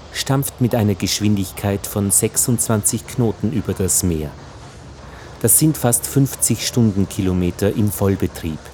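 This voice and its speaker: Male narrator, low mellow voice, scripted documentary style, engine-like background noise.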